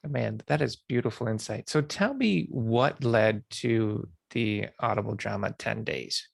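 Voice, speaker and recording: A man's voice: lowish voice, unhurried, vocal fry, dry recording. A man hosting an interview podcast, chatting with a guest in an encouraging, affirming way. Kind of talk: podcast intro and interview chat.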